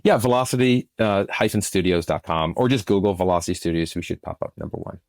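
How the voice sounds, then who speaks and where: Deep-voiced man in an interview. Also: fast talker, a little mellow, dry recording, clean studio sound. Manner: hesitant, repeats words as he thinks. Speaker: producer, podcast guest. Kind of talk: casual interview conversation.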